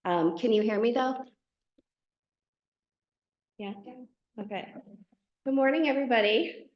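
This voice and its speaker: A woman in her fifties giving a soft-spoken, halting opening statement as a board candidate at a meeting. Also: a little high. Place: meeting hall. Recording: roomy, dull meeting recording.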